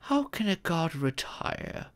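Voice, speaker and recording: Man playing a slow, expressive fatherly dragon mentor. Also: fairly high pitch, exaggerated voice. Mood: gentle instructing. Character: fatherly male dragon mentor, patient, fond storyteller. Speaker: storyteller and magic teacher. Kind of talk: ASMR audio roleplay, scripted fantasy storytelling.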